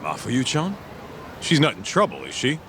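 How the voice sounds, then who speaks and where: A man's voice: mid-range voice, huge pitch swings, normal speed, a bit bright. A man playing a brash, loyal street brawler in a video game cutscene, talking in cocky slang. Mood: protective menace. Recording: noisy city street ambience behind.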